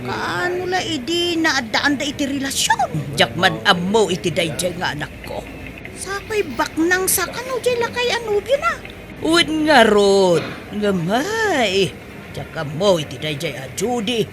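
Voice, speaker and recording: A woman playing an excitable, exclamatory middle-aged mother and market vendor in a comedy radio-style drama. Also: on the high side, unhurried, heavy background noise. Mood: shocked, nosy.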